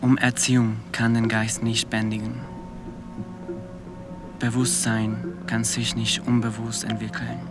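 A man reciting a scripted radio-play monologue in measured, declarative statements. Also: on the low side, monotone, music in the background, natural voice.

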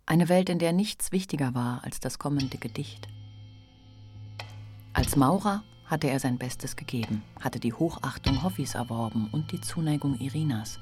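A woman reading scripted literary narration for a radio play in a measured, sober manner. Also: extremely low pitch, a little mellow. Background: faint music.

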